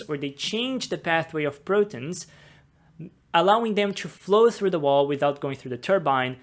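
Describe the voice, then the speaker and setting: A young man from Brazil, a podcast host, patiently explaining science in plain steps before an interview. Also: on the high side. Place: office room with a table. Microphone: desk mic on a boom arm.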